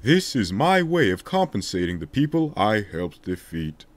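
A man's voice: fairly low pitch, sing-song, normal speed, no room sound. A man voicing a solemn, remorseful king in a declamatory, dramatic way for a video game fan dub. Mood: solemn, reflective.

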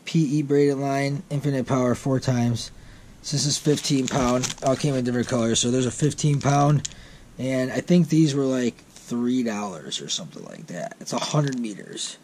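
A man's voice: medium pitch, normal speed, a little mellow. A man in his thirties chatting casually while unboxing fishing gear in his garage, rambling and correcting himself as he goes, recorded on a wearable camera. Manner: rambling, self-correcting, easygoing. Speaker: vlogger. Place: home garage, seated on a boat. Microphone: wearable action camera. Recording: packaging crackle over voice. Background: crinkling packaging.

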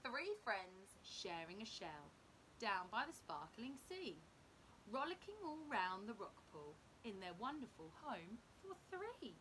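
A middle-aged woman reading a children's picture book aloud in an expressive, dramatic, deliberate manner. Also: poor audio. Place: indoor room at home. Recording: clear low background rumble noise.

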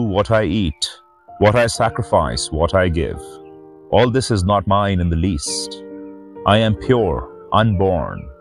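Low-voiced man reading scripture reverently. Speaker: spiritual teacher and channel host. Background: background music.

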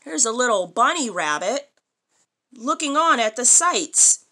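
A woman in her thirties reading a picture book aloud to a young child in an expressive, sing-song way, adding questions and comments. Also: fairly high pitch, a little slow. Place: small furnished room indoors.

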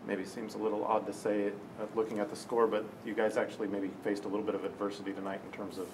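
A man reporter asking a question at a press conference, quick and flat. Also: on the low side. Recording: distant voice off mic, noisy room.

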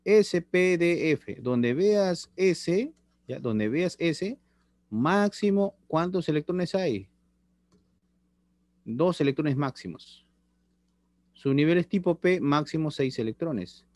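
Middle aged teacher on a webcam call, kinda high voice, dry sound, keeps asking "right?". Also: male voice, expressive, takes it slowly, pauses often, a little mellow, no echo. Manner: chatty, repeats phrases, checks in with students. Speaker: school chemistry teacher. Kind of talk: online class lecture. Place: small room indoors. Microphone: laptop webcam on video call.

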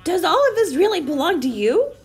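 A woman's voice: on the high side, expressive, slow pace. A woman voicing a friendly, eager, earnest young male animal character in a children's storybook. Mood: curious.

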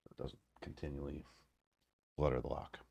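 A man giving a casual, unscripted electronics demo in a halting, self-deprecating way with wry humor. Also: rumbling low, little pitch movement, gravelly, dark tone, slightly rough. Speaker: electronics hobbyist presenter. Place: indoors at a home workbench.

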